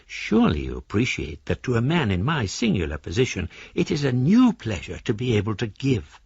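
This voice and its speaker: An actor playing a philosophical fugitive embezzler in a British radio drama, a mannered gentleman with a British accent reading his formal, ornate letters aloud. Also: medium pitch, huge pitch swings, brisk, vocal fry, husky, dry recording, highs cut off, heavily acted. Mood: reflective, courteous.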